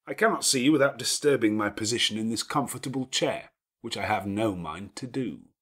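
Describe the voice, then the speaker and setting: A man voicing a gruff, commanding, teasing middle-aged gentleman with elaborate, sardonic phrasing in an audiobook. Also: lowish voice, a little fast, voice pushed up. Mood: imperious, satisfied. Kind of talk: dramatic audiobook character dialogue.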